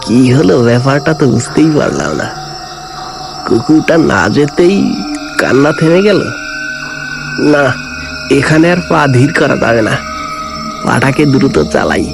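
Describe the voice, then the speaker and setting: A man's voice: mid-range voice, very animated, measured pace, vocal fry, long pauses, over music. A man voicing a weary, wary village grandfather of about seventy in a cartoon ghost story, talking in a folksy, self-muttering way. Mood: uneasy. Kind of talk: animated ghost story dialogue.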